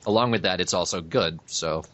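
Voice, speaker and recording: A man's voice: low-pitched, brisk, a bit bright. A man co-hosting a fan podcast in casual chat over a voice call. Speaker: podcast co-host. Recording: dull lo-fi internet call.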